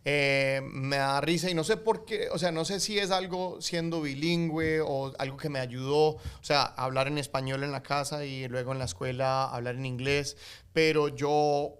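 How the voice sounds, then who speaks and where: A man's voice: a little high, moderate pace, clear, resonant tone. Colombian-accented man telling stories in an interview. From United States, Colombian family. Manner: animated storyteller with many fillers and restarts. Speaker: guest, actor and coffee entrepreneur. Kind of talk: casual interview storytelling in Spanish.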